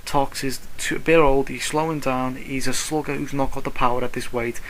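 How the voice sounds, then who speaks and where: A man's voice: medium pitch, steady tone, fairly quick, slight background noise, rough recording. A British man giving casual solo boxing commentary in a blunt, slangy, opinionated way. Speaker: boxing vlogger.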